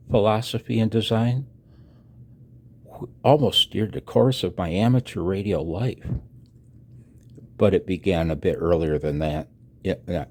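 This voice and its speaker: A man in his sixties giving a personal voice-over announcement, halting and reflective, with a husky low voice. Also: darkish tone. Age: 60s. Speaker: amateur radio hobbyist and video narrator. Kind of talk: personal voice-over announcement, partly read from own text.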